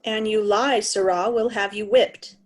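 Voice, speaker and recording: A woman's voice: medium pitch, fairly even intonation, unhurried, lightly acted. A woman playing a proud, indignant old king in a Shakespearean reading, stately and commanding.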